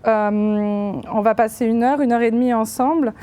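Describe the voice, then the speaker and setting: A woman hosting a podcast interview, her voice a little high but mellow and dark in tone. She asks her questions in a relaxed, friendly way. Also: moderate pace. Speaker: podcast host.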